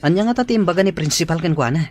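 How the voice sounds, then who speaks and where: A woman's voice: on the low side, expressive, racing, a little mellow. A woman playing an easygoing, joking teenage student in an audio drama. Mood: curious.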